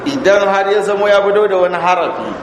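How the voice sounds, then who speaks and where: A man's voice: high-pitched, fast. A Nigerian Hausa man, an Islamic scholar, teaching a religious text in a didactic way, reading and explaining and putting questions to his listeners. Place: large echoing mosque interior. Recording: echoey hall, distant reverb, clear background noise.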